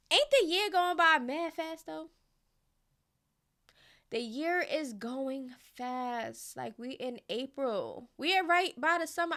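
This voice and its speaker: A woman's voice: high-pitched, pushed and bright. A woman in her thirties hosting casual gossip commentary, chatty and slangy, often checking in with her viewers. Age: 30s.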